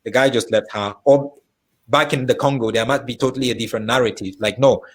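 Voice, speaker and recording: A man's voice: mid-range voice, a little fast, slightly rough. A man hosting an interview who asks long, rambling questions. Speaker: host.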